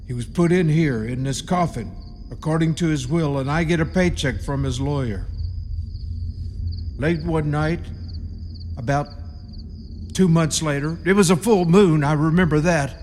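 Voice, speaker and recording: Male actor as spooky country tomb keeper for radio horror; unhurried, folksy, ominous, fairly high voice in long reverb. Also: darkish tone. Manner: halting, ominous, folksy storytelling. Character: eerie rural male caretaker of a haunted tomb. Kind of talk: radio play horror storytelling. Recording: reverberant radio drama, audible background noise.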